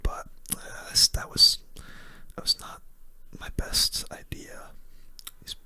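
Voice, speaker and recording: Casting: ASMR gaming streamer, fully whispered delivery, soft and crisp with a sharp airy edge, casual rambling commentary up close. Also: takes it slowly. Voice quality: soft, breathy unvoiced whisper. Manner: casual, laid-back rambling. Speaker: gaming video creator.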